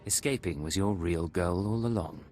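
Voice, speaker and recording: A man's voice: low-pitched, normal speed, gravelly, a little mellow, soft background music. An actor playing a terse, guarded male secret agent in a video game. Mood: cold, dismissive. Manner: curt, guarded. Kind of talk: video game dramatic acting.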